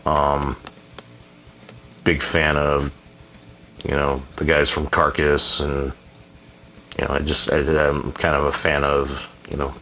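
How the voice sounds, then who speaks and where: Man, very deep voice, laid-back, rambling, casual Q&A, blunt and profane. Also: pushed and bright. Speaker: musician answering viewer questions. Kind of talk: casual Q&A chat.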